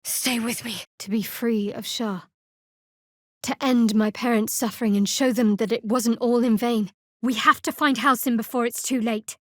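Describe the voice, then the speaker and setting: Guarded, high-voiced young woman cleric. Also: steady tone, moderate pace, a bit bright, no echo, clean studio sound. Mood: earnest. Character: guarded, sardonic young woman cleric adventurer with dry wit. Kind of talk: fantasy video game dialogue acting.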